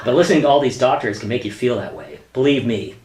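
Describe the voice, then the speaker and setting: An actor playing a hesitant, exasperated government office worker and husband in a sitcom-style radio play, with a wry manner. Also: male voice, mid-range voice, expressive, fast, a little mellow, close to an everyday voice. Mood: patient, weary. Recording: roomy, echoey sound.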